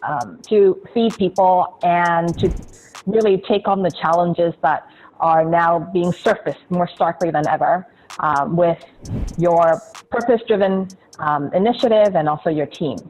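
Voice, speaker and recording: A woman hosting an interview, asking thoughtful, measured questions. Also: on the low side, a little mellow, a little hiss or hum, rough recording. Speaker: interview host. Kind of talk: casual interview talk.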